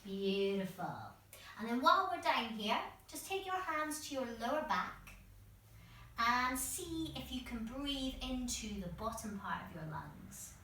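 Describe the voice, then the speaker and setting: A woman's voice: fairly high pitch, very animated, measured pace, bright, forceful tone, a little hiss or hum. Female workshop instructor, encouraging guided instruction, distant from the mic with heavy room echo. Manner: encouraging, step-by-step, demonstrates as she talks.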